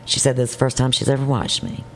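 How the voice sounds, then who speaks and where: Middle-aged woman co-host, gravelly, chatty and self-deprecating, faint room noise behind. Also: a bass voice, wildly swooping pitch, quick pace, poor audio. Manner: chatty, self-deprecating, trails off mid-sentence. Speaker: morning show co-host. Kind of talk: casual chat. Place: small living-room style studio set, indoors.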